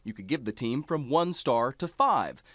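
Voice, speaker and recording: Animated American male news narrator. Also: medium pitch, normal speed, no room sound. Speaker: TV news reporter. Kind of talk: scripted news package narration.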